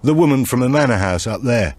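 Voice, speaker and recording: A man playing a nervous male petty thief in an audiobook, evasive, halting and repeating his words. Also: medium pitch, expressive, no echo, voice pushed up, close to an everyday voice.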